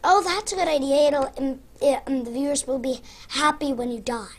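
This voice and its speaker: A woman's voice: sits high, brisk. A young girl with a cold, chatting playfully with her father while they play a game together. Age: child. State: has a cold. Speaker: co-player, daughter. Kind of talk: casual gameplay chat.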